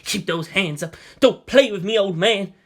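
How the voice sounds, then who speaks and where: A man voicing a panicking, aggressive young male robber in a story reading, shouting and blustering. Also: high-pitched, moderate pace, voice pushed up. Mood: panicked aggression.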